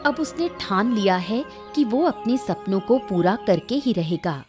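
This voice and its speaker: Woman narrator with lively intonation. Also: medium pitch, measured pace, over music. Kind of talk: scripted story narration and cartoon dialogue.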